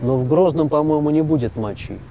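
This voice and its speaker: A man's voice: fairly high pitch, fairly quick, dull audio, noisy background. A man presenting a travel documentary, speaking in a conversational, persuasive way as narrator and interviewer. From Russia. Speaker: TV presenter and reporter.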